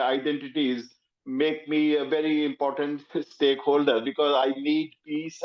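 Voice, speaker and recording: Hesitant man on a lo-fi call. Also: medium pitch. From Pakistan. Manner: hesitant, many fillers. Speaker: playwright. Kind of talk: remarks at a panel, joining remotely.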